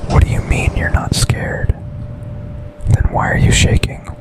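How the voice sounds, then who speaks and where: A man playing an intense, tender office boss and secret lover in a breathy, intimate whispered ASMR boyfriend roleplay. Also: lightly acted. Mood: gentle reassurance. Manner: slow, intimate, deliberate delivery with pauses.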